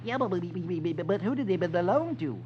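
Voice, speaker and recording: Stammering high-voiced little lizard. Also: male voice, expressive, brisk. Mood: eager, hesitant. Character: small stuttering eager male lizard, folksy and simple. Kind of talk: 1950s radio funny-page character acting. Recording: muffled, noisy 1950s radio recording.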